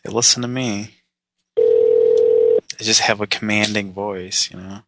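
A man's voice: fairly low pitch, fairly even intonation, takes it slowly, very noisy. A man chatting casually with a friend between prank calls and placing a call himself. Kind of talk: casual chat.

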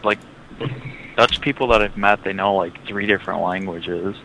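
A man's voice: low-pitched, steady tone, normal speed, gravelly, sharp tone, rough recording. A man talking casually, with a crude, sweary manner. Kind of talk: casual chat. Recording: faint background noise.

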